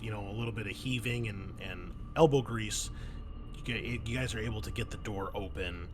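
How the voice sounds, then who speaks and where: A man runs a tabletop roleplaying game as game master. His voice sits on the low side, and he narrates and explains rules conversationally. Music plays clearly behind him.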